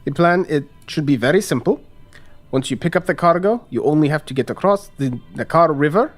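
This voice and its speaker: Higher-voiced man running a game. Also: lively intonation, brisk, soft background music. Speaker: game master. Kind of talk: tabletop game briefing.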